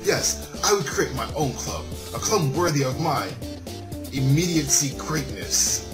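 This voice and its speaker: A pompous young male student from a visual novel, voiced by a man reading along, full of grandiose self-regard. His speech is theatrical and swoops widely in pitch, with music clearly underneath. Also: expressive, a little slow, lightly acted. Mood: grandly boastful.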